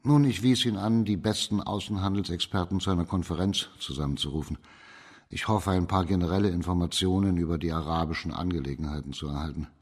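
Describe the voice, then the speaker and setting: A man playing an authoritative, shrewd judge in a radio crime drama, speaking formal in a measured, commanding way. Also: sits low, darkish tone, slightly rough. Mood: grave, businesslike. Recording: reverberant hall.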